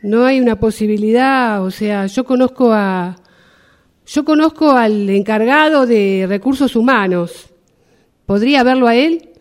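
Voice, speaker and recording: A woman playing an impatient, hurried worker stuck in a queue in an improvised radio drama exercise, with an Argentine Spanish accent. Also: a little high, darkish tone, natural voice. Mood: impatient, pleading.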